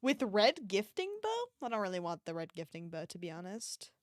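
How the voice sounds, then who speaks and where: A woman's voice: mid-range voice, very animated, moderate pace, no echo, studio quality. A woman livestreamer chatting casually and reacting to an online shop with playful, mock-dramatic exclamations.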